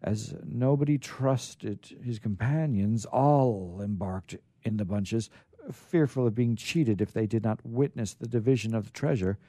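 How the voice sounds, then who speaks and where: A man's voice: lowish voice, a little slow, dark tone, no room sound. A man hosting a radio show, chatting casually and reading old stories aloud.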